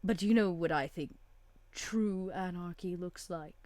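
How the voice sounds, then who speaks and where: A composed vampire woman, played by a woman at a roleplay table, speaks in a lowish, mellow voice. She is measured and sardonic, with dry wit, recorded close and dry. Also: no room sound, close to an everyday voice. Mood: cool, wry.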